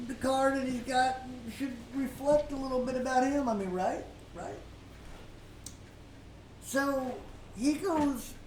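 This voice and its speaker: An older woman giving informal Bible teaching as a story, in a folksy, halting way with repeated words and frequent pauses. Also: on the high side, darkish tone. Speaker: preacher telling a story. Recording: roomy, distant mic with faint noise.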